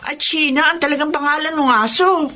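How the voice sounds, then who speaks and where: A woman's voice: sits high, fairly even intonation, a little fast, bright, forceful tone. An actress with a Filipino accent playing a kind, motherly woman in a radio drama. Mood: explaining, concerned. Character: Lota, kind motherly woman pleading for others. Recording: some noise and ambience behind.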